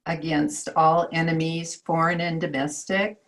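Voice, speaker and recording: Low-voiced woman repeating an oath. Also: steady tone, a little slow. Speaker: new school board member. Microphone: home microphone on a video call.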